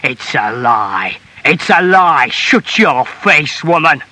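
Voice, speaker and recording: Man performing a belligerent drunk husband, storybook drama, slurred emphatic shouting, slow, sharp-edged delivery. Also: mid-range voice, fairly even intonation. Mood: enraged.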